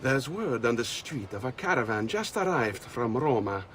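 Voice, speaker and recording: An actor playing a sly, self-assured master thief with an Italian accent, teasing and theatrical in a game cutscene. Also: male voice, medium pitch, very animated, moderate pace, a bit bright. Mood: brisk, teasing. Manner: teasing, theatrical, chuckling knowingly. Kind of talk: video game cutscene acting. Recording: faint background noise and ambience.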